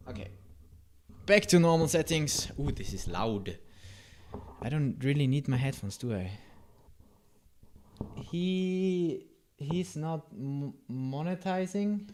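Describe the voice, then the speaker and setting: A man with a German accent, a native German speaker, talks through a live coding stream, breaking off mid-sentence to answer his chat. His voice sits fairly high and swings up and down a lot. The tone stays soft and mellow. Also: lively intonation, slow pace, long pauses, slight background noise. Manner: rambling, self-interrupting, answers chat with asides.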